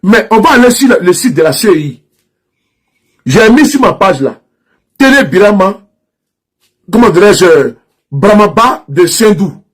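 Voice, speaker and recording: A man's voice: high-pitched, big pitch swings, measured pace, pauses often. A man from Ivory Coast with an Ivorian French accent, talking straight to his audience in an insistent, mocking, repetitive way, often restarting his sentences. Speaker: social media commentator. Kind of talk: direct-to-camera political rant.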